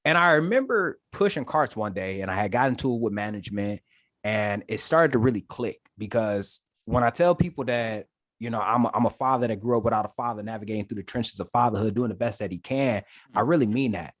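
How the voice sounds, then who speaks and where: Animated slangy young man from Detroit. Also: on the low side, fast, dry recording. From Detroit, Michigan, USA. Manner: animated, slangy storytelling with swearing. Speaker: podcast guest, Marine staff sergeant. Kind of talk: casual podcast chat.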